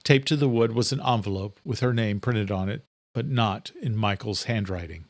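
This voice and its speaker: A man's voice: fairly low pitch, moderate pace, dry recording. A man reading scripted narration for a thriller audiobook.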